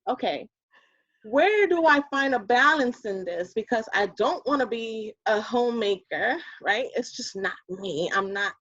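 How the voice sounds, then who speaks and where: A woman's voice: on the high side, lively intonation, a little slow, a bit bright, dry recording. A woman in her thirties with a New York Puerto Rican accent, chatting animatedly as an interview guest. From Bronx, New York. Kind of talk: casual interview chat. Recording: dull lo-fi audio.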